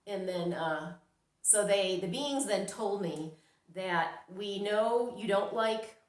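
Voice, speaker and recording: A woman's voice: medium pitch, takes it slowly. Woman telling a personal story, echoey room. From New York, United States. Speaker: storyteller, vlogger. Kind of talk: casual personal storytelling to camera. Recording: roomy, echoing sound.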